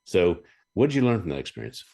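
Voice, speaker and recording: A man hosting a podcast, chatting casually and telling animated stories with chuckles and frequent throat-clearing. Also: low voice, fast. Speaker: podcast host. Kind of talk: casual interview chat.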